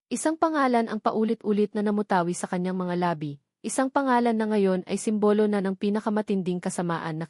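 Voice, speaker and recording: A woman's voice: medium pitch, darkish tone, dry recording, studio quality. A woman narrating a scripted love story in a solemn, suspenseful, dramatic manner.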